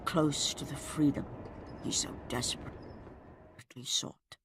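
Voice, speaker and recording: An actress playing a solemn, mysterious storyteller, narrating a Greek epic game in a breathy, portentous, halting way. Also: female voice, low voice, fairly even intonation, background noise. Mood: solemn, foreboding. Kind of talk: dramatic scripted game narration. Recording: loud music over the narration. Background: loud game soundtrack music.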